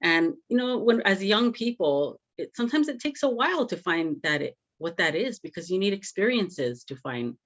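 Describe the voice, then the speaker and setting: A woman hosts a casual podcast interview, chatty and effusive, filling pauses with "you know." Her voice sits at a middle pitch. The audio comes through a bit dull and lo-fi. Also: sing-song. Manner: warm, effusive, many "you know" fillers. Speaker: podcast host.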